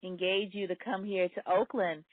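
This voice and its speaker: A woman hosting a radio interview, asking hesitant, soft-spoken questions full of fillers. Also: medium pitch, steady tone, normal speed, dry recording. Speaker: radio host. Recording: tinny dry phone-like sound.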